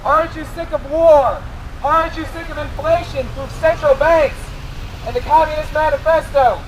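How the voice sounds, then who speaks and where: A very high-pitched American man speaks through a bullhorn at a noisy roadside. He exhorts passersby in an impassioned, sloganeering street-activist style, with clear traffic noise around him. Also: steady tone, normal speed. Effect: bullhorn amplification. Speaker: political activist campaigning for a candidate. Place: outdoors at a road intersection.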